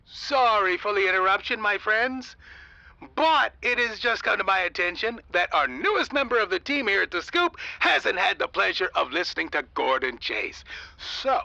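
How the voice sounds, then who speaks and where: A man's voice: high-pitched, big pitch swings, moderate pace, creaky, sharp tone, no room sound, dull audio, exaggerated voice. A man playing a fussy, theatrical radio station host in an audio comedy, opinionated and dramatic. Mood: showy, cordial.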